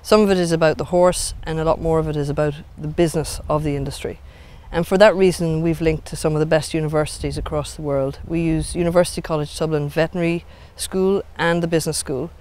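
A woman's voice: low-pitched, fairly even intonation, fast, hardly any pauses, darkish tone. A middle-aged woman, a programme manager, explaining in a composed interview outdoors on a grassy field. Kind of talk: interview answers.

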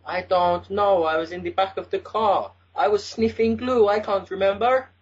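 A man's voice: sits high, steady tone, moderate pace, husky. A man playing an angry, entitled, foul-mouthed young mayor's son on a prank phone call. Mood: insistent. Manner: swearing, threatening, indignant. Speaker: prank caller.

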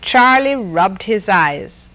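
A woman's voice: medium pitch, lively intonation, slow pace, pushed and bright, rough recording. A middle-aged woman reading a children's story aloud expressively, acting out the characters' lines as she goes. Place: studio set dressed as a reading nook with stuffed toys.

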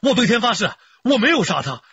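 An actor playing a rough, boastful, lovestruck fisherman questioned by police in a radio drama, folksy and rambling with a husky voice. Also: high-pitched, sing-song, quick pace, fairly bright tone, lo-fi sound, slight background noise, exaggerated voice. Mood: panicked pleading.

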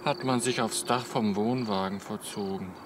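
An actor playing an earnest, dutiful, rather timid camper on guard in a German comedy audio play. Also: male voice, on the low side, fairly even intonation, moderate pace, close to an everyday voice. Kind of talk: German radio comedy acting. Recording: noisy outdoor night ambience with crickets.

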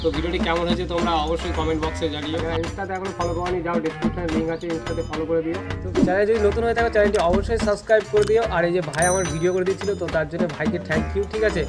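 Fast, high-voiced young Bengali man. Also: steady tone, over loud music. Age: 20s. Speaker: prank-call video host. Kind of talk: casual vlog chat and prank calling. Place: outdoors beside a wall and metal shutter.

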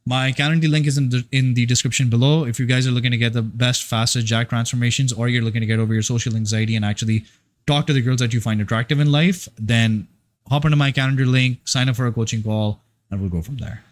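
A man's voice: mid-range voice, steady tone, fast talker. Male fitness coach in a mid-range voice, talking bluntly and profanely in a loose motivational ramble. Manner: rambling, profane, emphatic, with frequent restarts. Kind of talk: casual motivational monologue.

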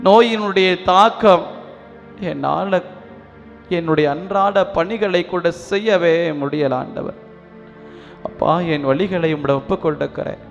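High-voiced man praying fervently over music. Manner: fervent, pleading, rising and falling between loud appeals and hushed sorrow. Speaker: priest leading prayer. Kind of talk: spoken intercessory prayer. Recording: long reverb with faint music behind.

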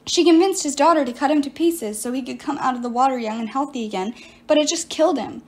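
A woman's voice: high-pitched, quick pace, darkish tone, close to an everyday voice. A woman voicing a defiant teenage girl hero in an audiobook, blunt and urgent. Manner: urgent, accusing.

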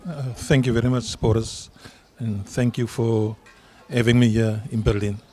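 Middle-aged man, Afrikaans accent, union leader, emphatic, medium pitch. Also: steady tone, moderate pace, warm tone, a little hiss or hum. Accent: South African Afrikaans. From South Africa. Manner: earnest, emphatic, repeats words when stressing a point. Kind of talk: interview answers at a public event. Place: outdoor garden event. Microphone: handheld mic.

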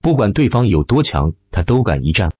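A man's voice: lowish voice, big pitch swings, fairly quick, dark tone, slightly rough, dry recording, highs cut off. A man reading a fantasy novel as dramatic scripted narration. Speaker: audiobook narrator.

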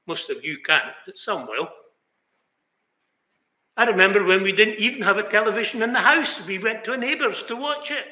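A man's voice: sits high, lively intonation, moderate pace, bright, forceful tone. An older man preaching a sermon in a small chapel, earnest and emphatic. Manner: earnest, emphatic, gestures with both hands. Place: small chapel, indoors, banner behind. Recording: roomy chapel echo.

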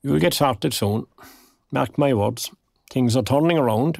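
Creaky-voiced older Irish retired man. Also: medium pitch, a little slow, darkish tone, no room sound. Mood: dry, wry. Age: 60s. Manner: dry, wistful, understated. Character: lonely, wry, recently retired older man. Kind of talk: naturalistic audio drama acting.

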